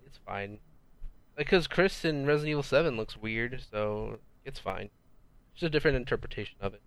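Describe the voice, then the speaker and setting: Man with a smooth, resonant voice. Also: mid-range voice, no echo. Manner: relaxed, hesitant, repeats words. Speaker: podcast co-host. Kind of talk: casual gaming chat.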